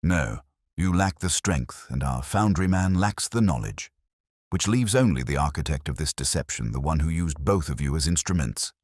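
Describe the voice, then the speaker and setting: A man voicing a shrewd, commanding Victorian detective in a story, calm, grave and deliberate. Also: rumbling low, no echo, studio quality. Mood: grave, certain. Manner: terse, deliberate, authoritative.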